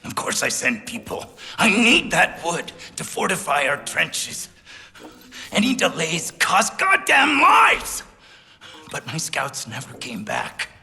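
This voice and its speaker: An actor playing a frantic, foul-mouthed, drugged-up trench commander in a video game cutscene. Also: male voice, fairly high pitch, wildly swooping pitch, slow, creaky, pushed and bright, shouting, big-room sound, full character voice. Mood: agitated, pleading. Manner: profane, blustering, jittery, cackling.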